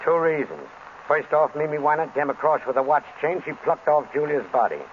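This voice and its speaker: A man plays a dogged police inspector in a vintage radio play. He is blunt and businesslike, a little high in pitch, heard through a thin, phone-like old recording with faint noise. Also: lightly acted. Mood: sure, determined. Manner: brisk, forthright, tough. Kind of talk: 1930s-40s radio drama acting.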